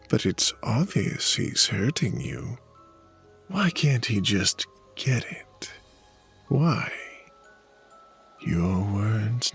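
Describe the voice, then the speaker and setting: A man playing a sinister, seductive creature in an audio drama, coaxing in a creaky voice that often sinks to a whisper. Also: on the low side, full character voice. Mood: insinuating. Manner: slow, insinuating, coaxing. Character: sinister, seductive tendrilled creature posing as a friend.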